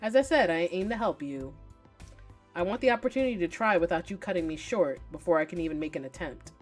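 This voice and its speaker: A woman reading visual novel dialogue as a composed, patient, non-human male love consultant, calm and formally polite. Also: on the low side, fast talker, faint music behind.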